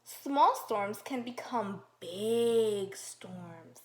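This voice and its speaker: A woman teacher reading a picture book aloud to young children, slow and encouraging, with drawn-out questions to the class. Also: medium pitch, animated, a little mellow. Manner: slow, encouraging, exaggerated sing-song questioning. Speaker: kindergarten teacher. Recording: roomy echo.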